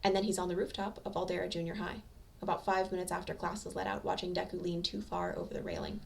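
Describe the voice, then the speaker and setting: A woman reading a story aloud as an amateur narrator, with expressive scripted delivery. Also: fairly low pitch, fast talker, creaky, recorded from a distance.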